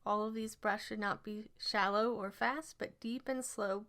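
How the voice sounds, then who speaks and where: A woman leading a scripted guided relaxation exercise as an instructor, speaking in measured, instructional phrases. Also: mid-range voice, fairly even intonation, fairly bright tone.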